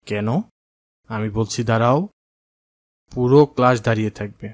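A man's voice: fairly low pitch, steady tone, normal speed, no echo, studio quality, lightly acted. A man playing a firm, dignified maths teacher in a children's cartoon. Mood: stern, commanding. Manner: stern, dignified, instructive. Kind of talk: cartoon dialogue acting.

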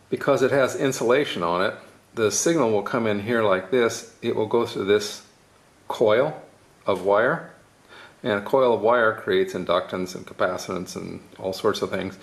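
Male DIY presenter, lowish voice with heavy fry, informal step-by-step explainer. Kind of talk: casual hands-on tutorial explanation.

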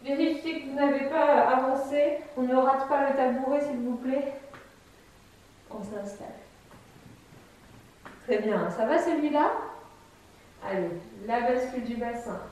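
Woman in her thirties, fitness instructor, calm step-by-step cues, high voice, big echoey room. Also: measured pace, pauses often. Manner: calm, step-by-step cueing while demonstrating. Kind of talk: guided chair exercise class. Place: bright empty room with wooden floor. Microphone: camera mic from a distance. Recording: echoey room, voice far from mic.

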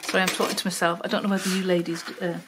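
A woman's voice: fairly low pitch, level tone, very fast, very noisy. An older Welsh-born woman with a Wirral accent, mixing Welsh and Liverpool sounds, chatting through a craft tutorial at her table, full of ums and asides to herself. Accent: Wirral, mix of Welsh and Liverpool. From Wales. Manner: chatty, many ums, talks to herself while working. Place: home craft table, indoors. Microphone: overhead camera, hands only.

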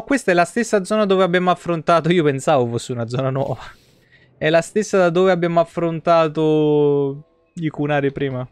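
High-pitched man streaming, dry sound. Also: clean tone, dry recording. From Italy. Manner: colloquial, slangy. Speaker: game streamer. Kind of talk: casual live gameplay commentary. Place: indoor streaming room.

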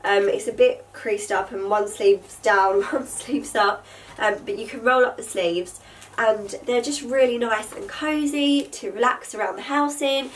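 A woman's voice: a little high, measured pace, recorded from a distance, slight background noise, rough recording. A young woman in her twenties, sounding nasal from a cold, chatting casually to camera in her bedroom about things she bought, with lots of fillers. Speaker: vlogger. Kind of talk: casual haul chat to camera. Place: bedroom with vanity table, indoors.

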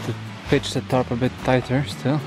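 A young man with a Polish accent, narrating a hiking vlog in a casual, relaxed way, laughing often, outdoors in a forest on a handheld camera. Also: medium pitch, moderate pace, music in the background, rough recording. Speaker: hiker vlogger. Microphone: handheld action camera.

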